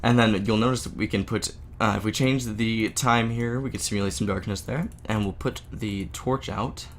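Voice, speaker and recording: A man with a sick, slightly off voice, casually walking through a software tutorial with lots of filler words. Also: lowish voice, steady tone, moderate pace, a little hiss or hum. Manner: casual, many "uh" and "there" fillers. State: sick, voice a bit off. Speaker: game engine tutorial maker. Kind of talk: screen-recorded software tutorial.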